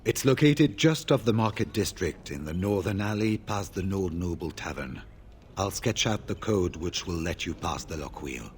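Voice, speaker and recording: An actor playing a weary, grave older warrior in a video game, speaking in a measured, solemn and formal way. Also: male voice, low-pitched, lively intonation, slight background noise. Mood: grave, resolute. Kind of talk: video game character dialogue.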